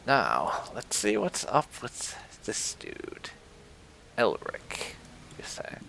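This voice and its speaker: A man's voice: mid-range voice, huge pitch swings, drawn-out words, creaky, sharp tone, slight background noise, rough recording. A man giving casual gameplay commentary as host, with dry, muttered asides. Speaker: gaming channel host.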